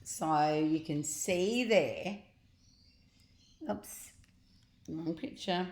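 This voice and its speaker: Older woman reading aloud, lowish echoey voice. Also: very slow, a little mellow. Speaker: grandmother reading to grandchildren. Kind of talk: storybook narration read aloud.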